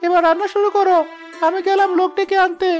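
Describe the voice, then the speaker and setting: A woman voicing an eager, caring young grandchild in an animated village moral story, earnest and reassuring. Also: very high-pitched, quick pace, soft background music.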